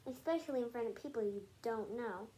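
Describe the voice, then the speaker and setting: A woman's voice: fairly high pitch, animated, fairly quick, dark tone, close to an everyday voice. A woman reading aloud the part of a kind, patient schoolteacher who encourages and explains things to her class. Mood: gently encouraging. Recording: noisy home recording.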